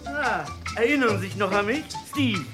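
A man playing a friendly, wistful elderly neighbour with a creaky voice in a radio crime drama. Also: high-pitched, expressive, moderate pace, music in the background.

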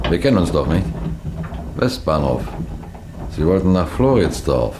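A man playing a wary police detective who narrates his mission in deliberate, suspenseful 1960s radio crime drama style. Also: sits low, sing-song. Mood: polite, guarded. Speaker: narrator and lead character. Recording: noisy vintage 1960s radio recording.

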